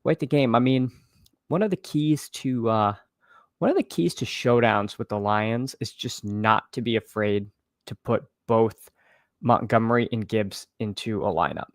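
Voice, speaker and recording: A man with a slightly froggy, hoarse voice chatting casually as a fantasy football livestream host, haltingly repeating phrases as he thinks aloud. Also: mid-range voice, dry recording, clean studio sound. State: slightly hoarse, froggy after losing his voice at a game. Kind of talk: casual livestream chat and sports commentary.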